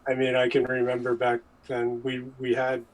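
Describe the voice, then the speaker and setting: A man's voice: medium pitch, monotone, moderate pace, poor audio. A man appearing as a guest, casually reminiscing. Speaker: guest, former computer worker.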